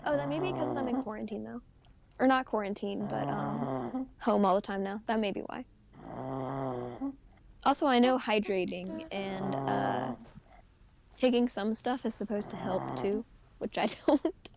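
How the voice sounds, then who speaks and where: A young woman streamer with a high voice, chatting casually and rambling, often giggling and restarting her sentences. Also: expressive, a little slow, gravelly, long pauses, background noise. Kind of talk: casual gaming chat.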